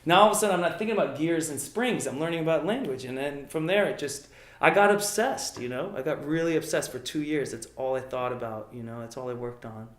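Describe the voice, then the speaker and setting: A man's voice: on the high side, quick pace, reverberant. A man whose first language is Italian, being interviewed about his career and talking with eager energy and many "you know"s. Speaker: magician guest. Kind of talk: casual interview.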